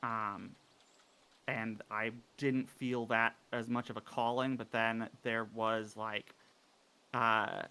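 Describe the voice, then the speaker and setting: A man describing his character in a tabletop game session, halting with many fillers and restarts. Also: on the low side, flat delivery, sharp tone, clean tone. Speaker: player.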